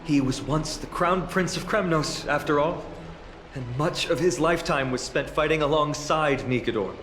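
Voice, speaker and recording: An actor playing an earnest, stoic young hero, humble and sincere, in a video game drama. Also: male voice, a little high, fairly bright tone, a little hiss or hum, close to an everyday voice. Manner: slow, deliberate, sincere. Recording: echoey, over background music.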